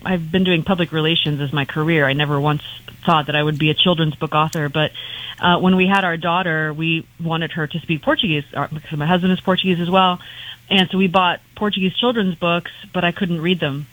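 A woman's voice: deep voice, steady tone, brisk, a bit bright. A woman from California, a guest on a phone line, chatting in a friendly way with many fillers. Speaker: phone-in guest, children's book author. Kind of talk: casual interview chat.

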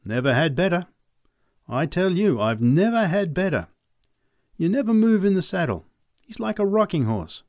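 A man's voice: sits high, very animated, moderate pace, long pauses, a little mellow, dry recording, studio quality, voice pushed up. A man voicing a cowardly, wheedling farmer in an audiobook. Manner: pleading, wheedling salesman's patter. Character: wary, cowardly man hiding among his cows. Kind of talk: audiobook character voice.

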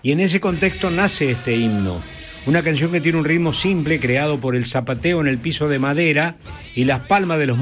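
A man with an Argentine Rioplatense accent hosting radio, chatting expressively between interview and music commentary. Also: a little high. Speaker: radio host.

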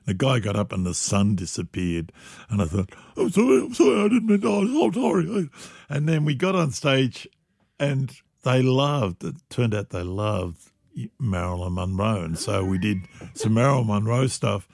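An older man telling stories about old gigs, animated and quick to laugh. His voice sits low and rough with heavy fry and a husky edge. The sound is dry and close, with no room around it. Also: dry recording. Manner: animated storyteller, laughs often. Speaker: musician guest. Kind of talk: reminiscing interview chat.

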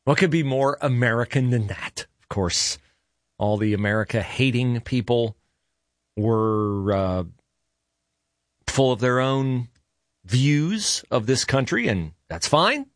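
A man's voice: medium pitch, animated, takes it slowly, no echo. Man, talk radio host, opinionated monologue, fillers and restarts, dry close studio sound. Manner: conversational, many "uh" fillers and self-corrections. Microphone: studio mic.

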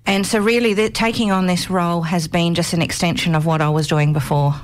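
A woman with a slightly low voice answers questions in a radio studio. She speaks earnestly and at a measured pace, often pausing on an um. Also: fairly even intonation. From Australia. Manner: earnest, measured, frequent ums. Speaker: guest, commission chair. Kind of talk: radio interview.

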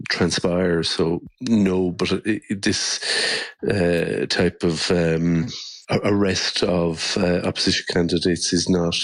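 A man with an Irish accent and a deep voice gives spontaneous answers in a news interview. He is halting, often doubling back on a word before finishing the sentence. The sound is dry, with little room around him. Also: dry recording. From Ireland. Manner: halting, often repeating words mid-sentence. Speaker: election observer and politician giving interview.

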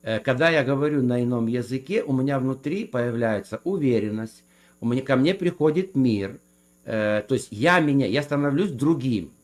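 A man in a theological debate who speaks in a measured way and quotes scripture to make his case. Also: medium pitch, expressive. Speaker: guest defending speaking in tongues.

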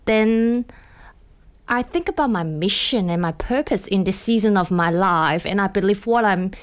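A woman's voice: medium pitch, normal speed. A woman, a coach, being interviewed and reflectively telling her career story, with small grammar slips. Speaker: guest, executive coach. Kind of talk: casual interview chat.

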